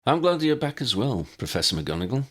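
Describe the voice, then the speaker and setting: A man's voice: medium pitch, sing-song, fairly quick, fairly bright tone, husky, voice pushed up. A man voicing an earnest, serious young male hero in an audiobook, speaking plainly. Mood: grateful.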